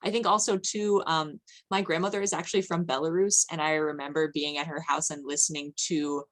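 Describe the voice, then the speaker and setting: A woman with a markedly low voice speaks as a panelist. Her delivery is conversational and reflective, thinking aloud as she goes. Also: steady tone, brisk. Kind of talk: casual, reflective panel talk.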